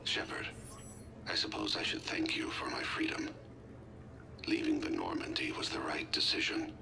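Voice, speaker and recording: An actor playing an ailing, reflective alien ex-assassin in a video game, speaking formally and measuredly in a gravelly, breathy voice as he leaves a personal message. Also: rumbling low, wildly swooping pitch, normal speed, full character voice. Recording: clear background noise and ambience.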